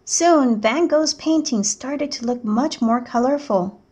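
High-voiced woman reading a children's book aloud. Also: takes it slowly, a little mellow, slightly rough. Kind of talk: read-aloud narration of a picture book.

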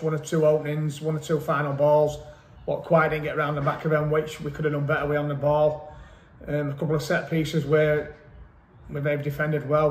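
Matter-of-fact football manager. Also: male voice, fairly high pitch. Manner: measured, matter-of-fact, frequent hesitant ums. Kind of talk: post-match interview. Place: small indoor club office. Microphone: camera mic at close range. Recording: echoey room, faint background noise.

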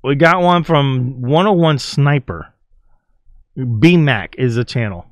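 A man's voice: mid-range voice, expressive, slow pace, no room sound. A man hosting a casual reaction video, commenting on game clips and playfully reading captions aloud. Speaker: YouTube host.

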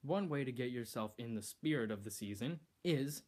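A teenage boy hosting a comedic skit in a living room, bossy and exasperated, bursting into shouts, recorded on a camera mic a few feet away. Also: medium pitch, lively intonation, moderate pace. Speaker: host. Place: decorated living room indoors.

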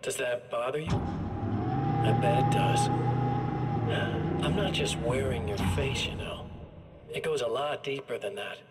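An actor playing a man's smug, menacing evil double in a video game, with a taunting, theatrical, drawn-out delivery. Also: medium pitch, far from the mic, heavy background noise, lightly acted. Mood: taunting menace. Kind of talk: video game villain monologue.

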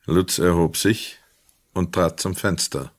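Deep-voiced male narrator, very dry sound. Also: no room sound. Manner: measured, formal. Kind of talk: scripted literary narration in a radio play.

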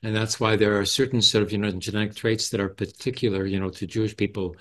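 An older man chatting in a group discussion on a video call, haltingly, often adding "you know". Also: fairly low pitch, steady tone, noisy background. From Canada. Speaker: forum participant and moderator. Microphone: headset on video call.

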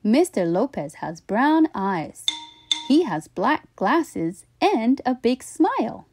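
A woman reading a children's story aloud with expressive, deliberate, emphatic phrasing. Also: fairly high pitch, faint music behind.

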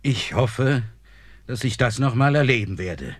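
A man's voice: mid-range voice, expressive, unhurried, dry recording. An actor playing an old, worried king who sternly scolds his son and servants. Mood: grave worry. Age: older.

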